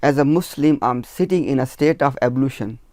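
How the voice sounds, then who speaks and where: A man's voice: mid-range voice, brisk, darkish tone, no room sound, studio quality. A man, a researcher, explaining his points at length in a discussion, methodical and firm, counting them off one by one. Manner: emphatic, firm. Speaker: researcher of religious literature.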